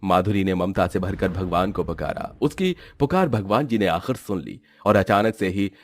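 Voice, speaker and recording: A man's voice: low voice, normal speed, soft background music. A man narrating a scripted cartoon story in storybook fashion.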